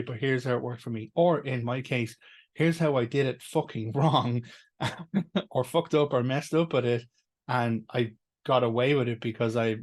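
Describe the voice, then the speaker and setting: An Irish man, a teacher and co-founder of a school, talking casually and earnestly in a rambling monologue with many restarts, fillers and the odd swear. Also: mid-range voice. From Ireland.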